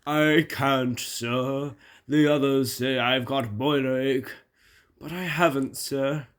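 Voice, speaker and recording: A man's voice: medium pitch, fairly even intonation, slow pace, natural voice. A man plays a proud steam locomotive from a storybook. He grumbles pompously and gets huffy. There is a gloomy, mournful weight to how he talks. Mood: mournful, anxious.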